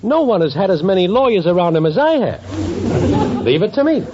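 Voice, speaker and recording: A man's voice: high voice, sing-song, brisk. A man playing a shady, overconfident boyfriend full of schemes, slangy and persuasive, in a 1940s radio sitcom. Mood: scheming, persuasive. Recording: noisy, dull 1940s radio recording.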